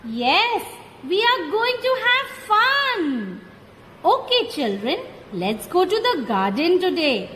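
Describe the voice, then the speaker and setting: Woman as slow, high-voiced Indian granny puppet. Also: big pitch swings, a bit bright, heavily acted. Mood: cheerful, affectionate. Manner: slow, deliberate, instructive delivery. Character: kindly old grandmother puppet, caring and teacherly. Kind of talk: scripted children's puppet show. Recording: echoey hall, background noise.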